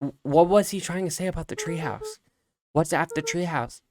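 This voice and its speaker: Man as animal boy hero, earnest, anxious, lifted pitch, video game read-aloud, light music. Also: normal speed, close to an everyday voice. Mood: urgent.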